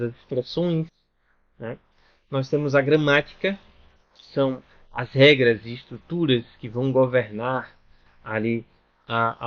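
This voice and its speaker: A man's voice: medium pitch, highs cut off. A man from Brazil explaining in an online language lesson, often checking in with the listener. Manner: explanatory, with frequent "né?" and "tá bom?" check-ins. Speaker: language teacher.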